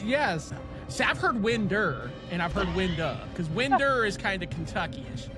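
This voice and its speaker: A man's voice: high voice, very animated, music in the background. A man with an Ohio accent hosting a podcast, chatting casually and telling stories with lots of fillers and restarts. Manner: chatty storyteller, halting with many fillers. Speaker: podcast host. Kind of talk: casual chat.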